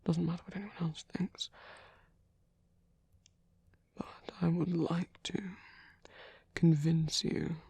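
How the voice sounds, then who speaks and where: A performer voicing a tender reformed villain as a protective lover in an intimate, gentle, breathy ASMR roleplay, speaking slowly in a hushed whisper. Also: mid-range voice, little pitch movement, dry recording, heavily acted. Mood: reassuring, softly coaxing. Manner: slow, gentle, hushed, reassuring.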